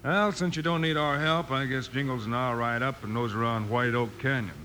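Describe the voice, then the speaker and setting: Western hero lawman, American male actor: mid-pitched, forceful, steady and unhurried, vintage radio drama recording. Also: close to an everyday voice. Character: calm, shrewd cowboy lawman hero. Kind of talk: old-time radio western acting. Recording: old radio recording, faint noise.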